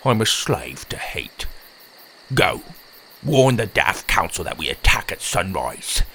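Storybook dark fairy warrior, played by a man: male, croaky, curt, quietly commanding, gruff and resigned. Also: low voice, very animated, slow, a little hiss or hum, pitched below the performer's own voice, heavily acted. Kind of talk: fantasy storybook character voice.

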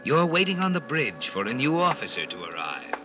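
An actor as the ominous narrator of a radio suspense tale, addressing the listener in a dramatic, knowing way. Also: male voice, mid-range voice, big pitch swings, a little fast, natural voice. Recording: muffled vintage radio recording. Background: dramatic music.

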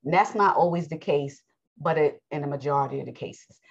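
Woman scripture teacher, low and husky, strained voice, emphatic sermon delivery, muffled recording. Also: a little fast. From United States. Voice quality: raspy. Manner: emphatic, preachy, clears throat often. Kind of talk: bible lesson with commentary.

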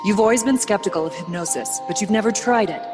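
An actor playing a smarmy hypnotist in a radio advert, slick and smooth. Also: mid-range voice, quick pace, in a large hall, over music, lightly acted.

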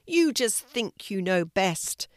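A woman's voice: medium pitch, very animated, takes it slowly, no room sound, clean studio sound. A woman voicing indignant, questioning wild animals in short complaining outbursts.

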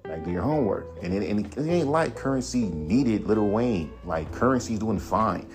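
A man in a casual podcast monologue, blunt and profane, talking in a fairly low, monotone voice. He rants with animated swings of emphasis. Music plays clearly underneath him. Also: normal speed. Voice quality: self-described monotone voice. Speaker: podcast host. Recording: music playing behind the voice.

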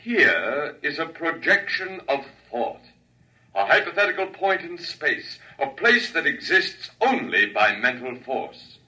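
An actor playing a dignified alien lecturer in the guise of an elder gentleman, speaking formally and grandly in a classic radio drama. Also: a little high, big pitch swings, measured pace, exaggerated voice. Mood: lofty explaining. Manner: stately, formal, reassuring, grandiose. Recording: distant-miked vintage radio recording.

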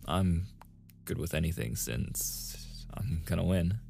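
A man's voice: sits low, expressive, slow, vocal fry, warm tone, faint music behind, full character voice. A man playing a playful, easily flustered young gamer boyfriend in a casual, intimate ASMR roleplay. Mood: playful, affectionate. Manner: casual, intimate, with chuckles and sighs.

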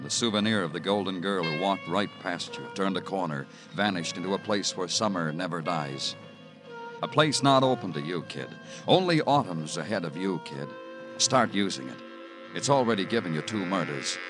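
An actor playing a world-weary police detective in an old radio crime drama, measured, brooding and hard-boiled. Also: male voice, sits low, animated. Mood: brooding, wry. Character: world-weary male police detective narrating his own case. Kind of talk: 1940s-50s radio crime drama acting and narration. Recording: old radio recording with prominent music.

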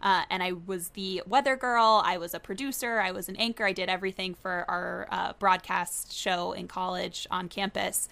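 A woman's voice: on the low side, fairly even intonation, normal speed, creaky, few pauses, bright, forceful tone. A young woman from Florida, a business journalist, chatting as an interview guest in an upbeat way and laughing often. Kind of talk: casual interview chat.

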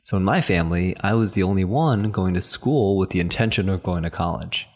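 A man's voice: low voice, brisk, darkish tone. A man in his thirties from New hosting a podcast, speaking with earnest encouragement straight to the listener. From New Jersey, raised in New York. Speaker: podcast host and acupuncturist. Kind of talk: motivational podcast talk.

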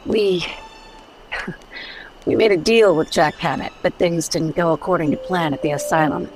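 A woman's voice: lowish voice, a little slow, noisy background, close to an everyday voice. An actress playing a blunt, world-weary female adventurer in a radio detective drama. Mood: grim recollection. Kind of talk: old-time radio drama acting.

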